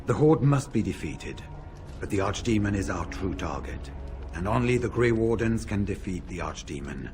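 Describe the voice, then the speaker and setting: A man's voice: lowish voice, big pitch swings, moderate pace, over music. A man playing a composed, grave veteran warrior in a video game, speaking formally and with measure. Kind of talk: video game cutscene acting.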